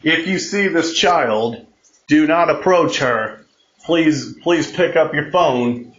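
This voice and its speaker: A man improvising a mock emergency broadcast announcement, haltingly, often repeating and restarting his phrases. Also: on the high side, fairly bright tone. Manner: mimics alert tones with spoken sounds. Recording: muffled, echoey room recording.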